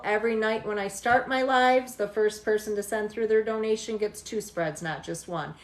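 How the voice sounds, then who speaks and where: Brief: woman in her 40s, a bit high-pitched, teasing livestream tarot host, echoey room. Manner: chatty, teasing, laughs often. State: tired. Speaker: tarot reader hosting a livestream. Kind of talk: casual livestream chat with viewers.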